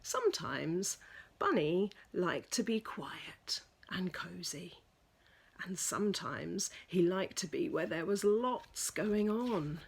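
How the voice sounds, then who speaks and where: A woman reading a children's picture book aloud as a storyteller, with deliberate, dramatic emphasis for young listeners. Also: on the low side, very animated, vocal fry, breathy edge.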